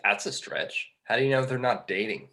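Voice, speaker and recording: A man playing a skeptical, bookish fallen angel in a film script table read, articulate and measured. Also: mid-range voice, sharp tone.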